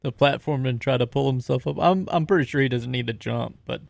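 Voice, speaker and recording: Creaky, casual male gamer. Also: mid-range voice, a little fast, no room sound. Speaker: tabletop game player. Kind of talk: casual description of his character's actions.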